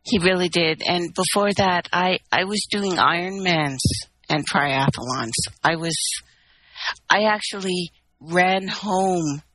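A woman's voice: on the low side, takes it slowly, fairly bright tone, no room sound, low-quality recording. A woman from Canada, telling a long personal story in a candid, rambling, wry way on a call-in chat. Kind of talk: casual phone-in chat.

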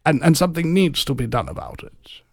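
A man's voice: fairly high pitch, big pitch swings, fairly quick, husky, no room sound, clean studio sound, exaggerated voice. A man voicing a pompous, scheming villain in a theatrical, disdainful manner for a radio parody.

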